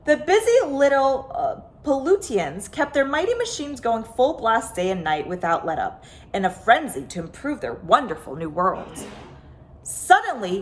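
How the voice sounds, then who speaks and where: Female storyteller for kids' picture book, higher-pitched and swooping, with dramatic, expressive delivery. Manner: expressive, dramatic emphasis with stretched words. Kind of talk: animated children's story reading.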